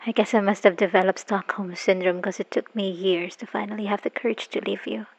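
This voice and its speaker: A woman acting a wounded, bitter woman leaving her abusive partner, in an emotional, halting dramatic audio roleplay monologue. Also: mid-range voice, steady tone, vocal fry, husky. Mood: sad, resigned. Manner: emotional, halting, sighing, dropping into whispers. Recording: dull lo-fi voice recording.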